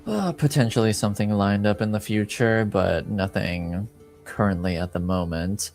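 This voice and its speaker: A man plays a laconic tavern informant in a tabletop roleplaying game. The voice is fairly low, guarded yet easygoing and understated, with music playing behind it. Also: moderate pace, a little mellow, close to an everyday voice. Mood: low-key.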